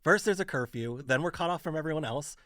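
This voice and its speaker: A skeptical young islander in an audio drama. His voice is very high for a man, with a sardonic, conspiracy-minded edge. The sound is dry. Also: expressive, fast talker, a bit bright, no echo. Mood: sardonic, alarmed. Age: young adult.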